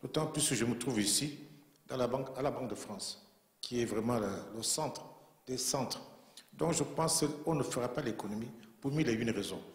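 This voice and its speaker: A man from Central Africa addresses a conference panel in earnest, emphatic speech, circling back to hammer home his points. His voice sits at an ordinary middle pitch. It carries the long, roomy echo of a large conference hall. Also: moderate pace. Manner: earnest, emphatic, repeats himself for weight. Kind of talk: formal conference remarks. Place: conference room in a bank.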